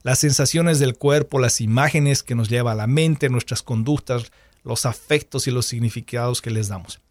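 A man's voice: medium pitch, normal speed, darkish tone, dry recording. A man hosting an interview, reading a scripted introduction and then asking questions in two languages in turn. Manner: switches between Spanish and English, repeats questions in both. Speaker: host. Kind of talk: bilingual radio interview.

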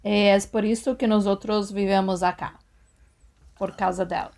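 A woman's voice: mid-range voice, fairly even intonation, moderate pace, a little mellow. A woman from Brazil, a psychologist and guest, answering interview questions with a Brazilian Portuguese accent, hesitating as she searches for words. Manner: hesitant, with fillers and restarts while searching for words.

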